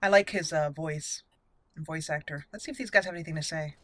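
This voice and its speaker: A woman's voice: deep voice, fairly quick, fairly bright tone, no echo. A woman hosting a gaming channel with casual roleplay commentary, chatty and easily amused.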